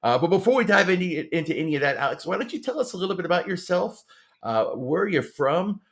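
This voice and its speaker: A man's voice: high-pitched, expressive, fast, fairly bright tone. A man hosting a conversational podcast interview, with hesitant, rambling phrasing and repeated words.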